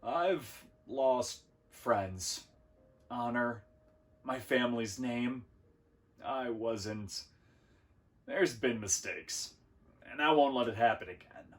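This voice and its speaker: A man's voice: medium pitch, slow pace, pauses often, lightly acted. A man voicing a big, puppyish would-be warrior hero in a storybook, speaking with grandiose earnestness. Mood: solemn regret.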